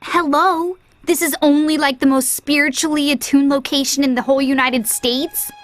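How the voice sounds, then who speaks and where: A woman voicing a sharp-witted, teasing young girl adventurer in a cartoon-style video game, expressive and sassy. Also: sits high, moderate pace, dry recording, lightly acted.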